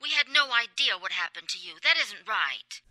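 A woman's voice: mid-range voice, lively intonation, normal speed, pushed and bright, no echo. An actress playing a concerned, plain-spoken woman in a radio drama. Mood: reproachful concern.

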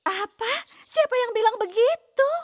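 A woman voicing a gentle, caring young deer who teaches kindergarten, speaking affectionately in a children's cartoon. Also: very high voice, lively intonation, moderate pace. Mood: surprised. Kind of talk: children's cartoon dub in Indonesian.

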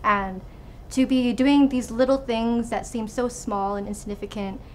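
A woman's voice: on the high side, steady tone, moderate pace, slight background noise. A woman in her twenties from Hawaii, a student researcher giving informal interview answers in a laboratory. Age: 20s. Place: indoors in a laboratory.

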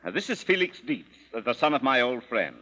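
An actor playing a teasing older father in a 1930s radio drama, dry and gruff. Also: medium pitch, sing-song, a little fast, sharp tone. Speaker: Mister Lemp. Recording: dull old radio broadcast.

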